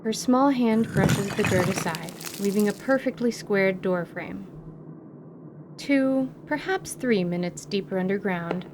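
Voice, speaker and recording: A woman narrating a scripted fantasy tale in a measured, literary radio theatre style. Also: mid-range voice. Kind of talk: scripted descriptive story narration. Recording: faint background noise.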